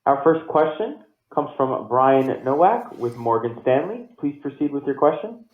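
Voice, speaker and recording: A man's voice: mid-range voice, very animated, unhurried. A man working as a conference call operator, giving scripted instructions in a polite, formulaic way. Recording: tinny phone line, roomy echo.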